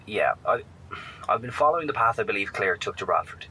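An actor playing a weary, wry professor out in a wasteland in a horror audio drama, earnest, self-deprecating and halting. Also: male voice, lowish voice, expressive, sharp tone, breathy edge, full character voice, poor audio. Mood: serious.

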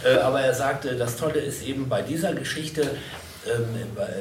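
A man hosting a live panel at a convention, wordy and halting as he moderates and interprets. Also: mid-range voice. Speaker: stage host and interpreter. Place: echoey convention hall. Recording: echoey, distant, noisy event recording.

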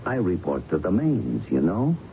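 A man's voice: huge pitch swings, moderate pace, warm tone, heavily acted. An actor playing a slick, brash gangster middleman in a 1970s radio drama, with breezy, clipped, self-assured patter. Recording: muffled 1970s radio broadcast, faint rumbling noise.

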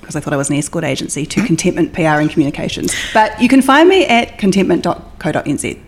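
A woman with a New Zealand accent, a PR business owner, guest on a podcast, wry and quick to laugh. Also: fairly low pitch, animated, a little hiss or hum. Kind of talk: casual interview chat.

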